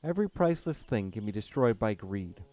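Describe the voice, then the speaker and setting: A man reading a story aloud, voicing a calm but bitter male forest guardian with a harsh German accent who speaks loudly and earnestly. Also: on the low side, a little fast. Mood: earnest, preaching. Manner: earnest, loud, preaching.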